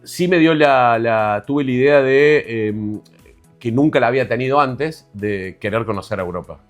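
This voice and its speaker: A man's voice: mid-range voice, moderate pace, soft background music. A man in his forties from Argentina, telling his story as an interview guest with many fillers and restarts. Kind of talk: conversational interview.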